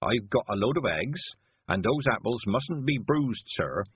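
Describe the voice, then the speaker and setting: A man voicing a humble male cart driver who keeps deferring politely, with a husky voice. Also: medium pitch, animated, measured pace. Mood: deferential. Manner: deferential, keeps saying sir. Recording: dry tinny phone-like sound.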